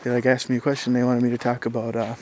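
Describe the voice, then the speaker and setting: A man's voice: mid-range voice, completely flat delivery, very fast, warm tone. A young man from southern Minnesota with a Minnesotan accent, rambling casually to camera with many fillers and restarts. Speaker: vlogger. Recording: faint background noise.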